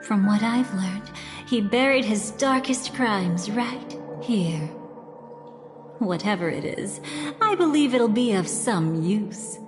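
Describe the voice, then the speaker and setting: A woman voicing a playful, enigmatic young thrill-seeker in a video game, composed and teasing in a deliberate way. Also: medium pitch, expressive. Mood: calm, intrigued. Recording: echoey with long reverb, music behind. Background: game music.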